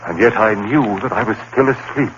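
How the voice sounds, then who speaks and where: A man's voice: on the low side, moderate pace, fairly bright tone, natural voice. An actor playing an accused murderer, a genteel biology teacher, speaking his thoughts in 1930s radio drama style. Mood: brooding. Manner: brooding inner monologue, genteel and formal. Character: haunted male murder suspect, biology teacher. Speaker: lead actor. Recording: dull old radio broadcast with hiss.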